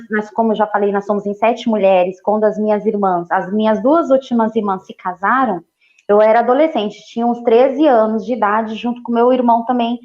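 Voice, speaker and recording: A woman in her forties from Ceará, raised in São Paulo, a nutritionist talking as a guest in a lively, easygoing way. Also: a little high, muffled recording. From Ceará, Brazil, raised in São Paulo. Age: 40s. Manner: lively, laughs easily, repeats phrases. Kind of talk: casual interview.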